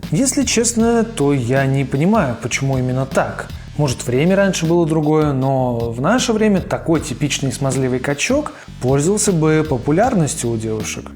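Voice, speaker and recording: A young man with a fairly high, animated voice narrates a video essay. He talks informally and gives his opinions in slangy, conversational phrasing. Music plays faintly behind him. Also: normal speed, few pauses. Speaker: host of an animation review channel. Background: background music.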